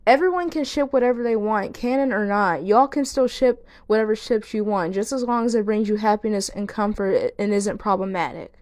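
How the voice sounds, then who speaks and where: A woman's voice: mid-range voice, a little fast, few pauses, darkish tone, dry recording. A woman giving video essay commentary to viewers, speaking earnestly and now and then stumbling over words. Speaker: video creator and host.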